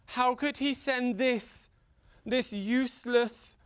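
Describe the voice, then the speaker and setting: A man from the UK telling a folktale theatrically for children, with dramatic pauses, repetition and drawn-out emphasis. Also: very high voice, fairly even intonation, fairly bright tone, clear, resonant tone. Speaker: storyteller.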